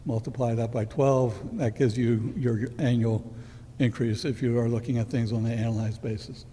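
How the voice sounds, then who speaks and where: A man's voice: medium pitch, flat delivery, moderate pace, mellow, slight background noise. A man presenting a city budget at a public meeting, explaining numbers step by step with small restarts. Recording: echoey hall.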